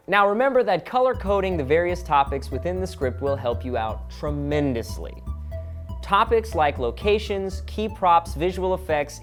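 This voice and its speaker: Animated male vlog host over music. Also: a little high. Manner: instructional with casual joking asides. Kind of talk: comedic scripted vlog explainer.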